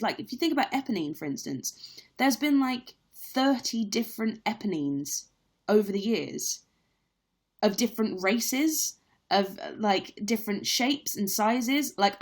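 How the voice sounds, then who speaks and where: A young woman who acts on stage, chatting candidly in a live-stream Q&A with lots of fillers. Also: medium pitch. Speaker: stage actress livestreaming a Q&A. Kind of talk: casual live-stream chat.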